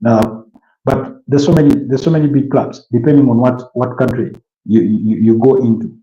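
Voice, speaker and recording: A man's voice: medium pitch, fairly even intonation, reverberant, muffled recording. A man from Uganda hosting a live stream, chatting casually and answering viewer comments with many restarts and fillers. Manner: halting, repeats words, many fillers.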